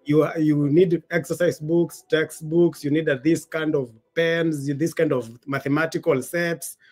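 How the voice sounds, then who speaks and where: A man's voice: fairly high pitch, flat delivery, measured pace, darkish tone, soft background music. A man hosting a podcast, asking questions casually, bantering with his guest and finishing her sentences. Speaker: podcast host. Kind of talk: casual interview conversation.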